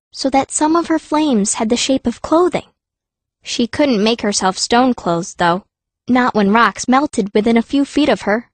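A woman narrates a first-person fantasy audiobook as its young heroine, with expressive, dramatic storytelling. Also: high-pitched, no room sound.

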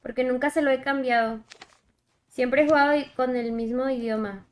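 High-voiced young Mexican woman streaming. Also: fairly even intonation, moderate pace. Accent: Mexican Spanish. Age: young adult. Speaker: game streamer. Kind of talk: casual livestream chat while gaming. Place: indoor room, alone. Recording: game vehicle and helicopter noise behind. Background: mobile shooter game sounds, helicopter.

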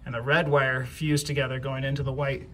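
Male DIY homeowner, medium pitch, halting informal tutorial delivery, outdoor recording with background ambience. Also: flat delivery, a bit bright, slight background noise, rough recording. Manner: halting, repeats words while explaining. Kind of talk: casual hands-on tutorial. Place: outdoors by a house front door.

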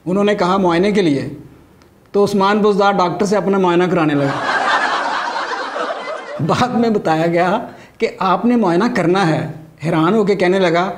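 A man's voice: sits high, moderate pace, pauses often, noisy background. A middle-aged man with a Pakistani accent delivering a scripted satirical comedy monologue as a stand-up comedian. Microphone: lapel mic.

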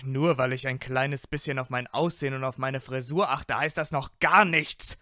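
A man acting a bright-voiced, haughty young wizard. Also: medium pitch, fairly even intonation, normal speed, no room sound, clean studio sound, natural voice. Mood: offended, haughty. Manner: haughty, indignant, teasing. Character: proud, vain young blond male wizard, easily offended, mocking. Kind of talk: fan audio drama acting.

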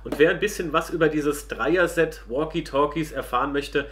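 A man's voice: on the high side, moderate pace, fairly bright tone, a little hiss or hum. A middle-aged man, casually explaining a product to viewers as he reviews it. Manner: explanatory, addresses viewers directly.

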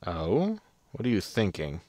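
Guy acting a blunt sci-fi captain, kinda low, impatient, no room sound. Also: wildly swooping pitch, a little slow, a little mellow, no room sound, studio quality, exaggerated voice. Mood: laid-back. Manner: casual, blunt. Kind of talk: sci-fi comedy audio drama acting.

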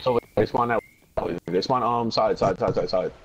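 A man's voice: fairly low pitch, normal speed, highs cut off, crowd noise. A young man trash-talking over game voice chat, taunting and mocking with repeated jabs. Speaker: gaming YouTuber.

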